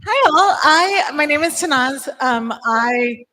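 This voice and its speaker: A woman's voice: sits high, animated, slow pace, sharp tone. A woman from Iran who collects recipes gives a short, friendly talk to a group, informal and full of fillers. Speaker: guest speaker, recipe collector.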